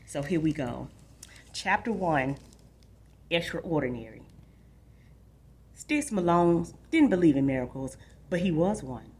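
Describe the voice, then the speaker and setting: Female book-show host, low register, direct explanatory delivery for young readers, slightly noisy recording. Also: darkish tone. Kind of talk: informal book talk and read-aloud for children.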